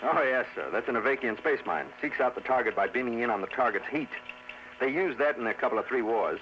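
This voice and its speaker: A man's voice: mid-range voice, expressive, fast, fairly bright tone, lightly acted. Man playing a weary, defensive space captain, old radio. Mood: earnest, respectful. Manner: rambling, defensive, deferential with frequent "sir". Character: weary, defensive male space cargo captain, respectful but prickly. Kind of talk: 1950s radio drama acting. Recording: muffled, noisy old radio recording.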